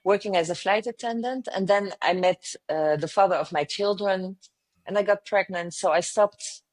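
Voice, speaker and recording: A woman's voice: mid-range voice, moderate pace. A woman in her fifties with a French accent, telling her story earnestly as an interview guest, with many hesitations. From south of France. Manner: frequent hesitations and restarts, earnest. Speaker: guest, travel company founder.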